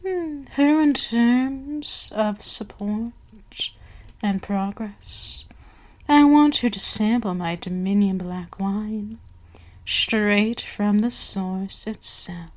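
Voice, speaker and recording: Woman playing a slow-spoken, imperious vampire queen. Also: medium pitch. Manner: measured, imperious, formal, with soft whispery lapses. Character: commanding, silkily menacing vampire queen, adult woman. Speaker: audio roleplay performer. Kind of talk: slow, breathy ASMR roleplay monologue.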